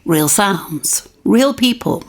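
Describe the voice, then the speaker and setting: A woman's voice: mid-range voice, expressive, takes it slowly. A woman reading a scripted radio programme trailer as announcer.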